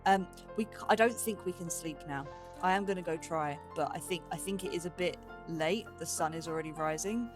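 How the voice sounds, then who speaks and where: A woman's voice: on the low side, a little fast, over music. A woman giving casual let's play commentary, musing aloud and questioning herself as she goes. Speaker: host of a gaming let's play series.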